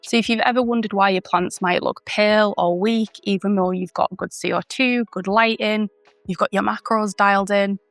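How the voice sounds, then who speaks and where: A woman who is unwell and croaky, explaining a topic in a chatty, informal way as the host of a channel. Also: mid-range voice, steady tone, normal speed, fairly bright tone, no echo, faint music behind. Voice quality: gravelly. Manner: chatty, informal, with fillers and self-corrections. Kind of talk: educational explainer.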